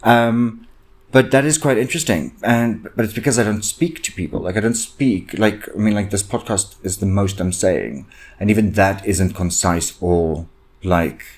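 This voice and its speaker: A young man with a very deep voice, a native Afrikaans speaker, talks through his worries on a solo podcast. He rambles and halts, restarting sentences and filling gaps with ums, sounding anxious and inward-looking. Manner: anxious, self-reflective. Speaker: podcast host. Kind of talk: solo personal podcast rant.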